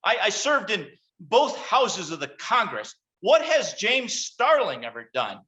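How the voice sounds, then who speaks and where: Man acting a high-pitched, stammering cornered senator. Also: sing-song, sharp tone, muffled recording, exaggerated voice. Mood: desperate, pleading. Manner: stammering, halting, flustered. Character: cornered, evasive male senator running for president. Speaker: actor in a virtual table read. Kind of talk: screenplay table-read acting.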